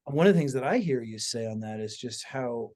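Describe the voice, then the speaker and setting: Guy hosting a podcast, medium pitch, casual, kinda circles round his questions before asking. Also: lively intonation, fairly quick, a little mellow. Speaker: podcast host and interviewer. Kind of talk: casual conversational interviewing.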